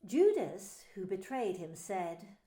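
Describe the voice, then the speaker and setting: Expressive woman narrating a Bible story. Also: medium pitch, takes it slowly. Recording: echoey room with faint music.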